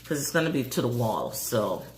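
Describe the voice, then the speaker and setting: A hoarse woman in a casual craft vlog, calmly talking through each step as she works. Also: extremely low pitch, moderate pace. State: hoarse, voice going. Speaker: craft vlogger. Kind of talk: casual DIY tutorial narration. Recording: roomy echo with faint noise.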